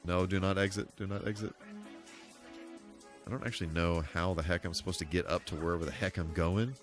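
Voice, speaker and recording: Male game streamer, American, deep register, chatty and good-humored, loose rambling delivery over faint music. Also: steady tone, a little fast. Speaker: live streamer. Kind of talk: casual gaming livestream commentary.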